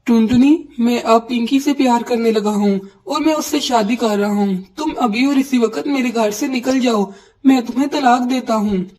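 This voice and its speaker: A woman's voice: on the high side, moderate pace, mellow, lightly acted, poor audio. A woman voicing an earnest young male pigeon, a husband and son, in a Hindi cartoon. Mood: coldly firm.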